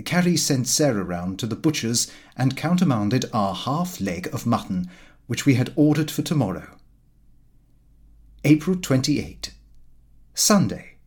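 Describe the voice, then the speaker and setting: A man's voice: mid-range voice, darkish tone, husky. A man reading a first-person comic diary as scripted audiobook narration. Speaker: audiobook reader.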